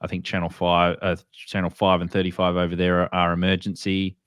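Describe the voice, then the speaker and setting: A low-pitched Australian man hosts an informal explainer. His delivery is halting, with words repeated as he goes. The sound is close and dry, with very little room in it. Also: monotone, clean tone, dry recording. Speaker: host. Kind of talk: casual explainer with screen share.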